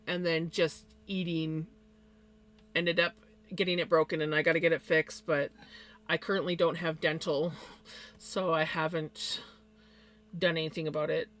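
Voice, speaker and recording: Streamer, woman, bright tone, slight lisp, rambly casual chat full of ums and quick laughs. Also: fairly low pitch. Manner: rambling, with many fillers and small laughs. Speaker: live streamer.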